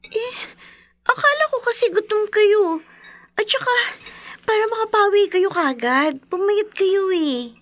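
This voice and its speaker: A devoted teenage Filipino girl in a radio drama. She speaks in a high voice, meek and eager to please, recorded close and dry. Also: unhurried, dry recording. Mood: meek, caring.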